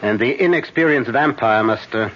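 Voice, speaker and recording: An actor playing a grave, insistent older professor who hunts vampires, speaking solemnly with halting emphasis in classic radio horror style. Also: male voice, medium pitch, lightly acted. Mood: grim, insistent. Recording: muffled, noisy old radio broadcast.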